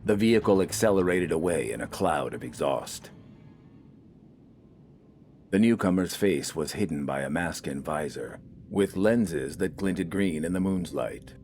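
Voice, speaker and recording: Very deep-voiced man narrating a story. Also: lively intonation, unhurried, a little mellow, slight background noise. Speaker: audiobook narrator. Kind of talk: scripted dramatic story narration.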